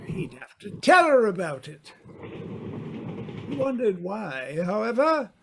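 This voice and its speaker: A man narrating an old-time radio mystery in a dramatic, deliberate storytelling manner. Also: sits high, big pitch swings, creaky, background noise.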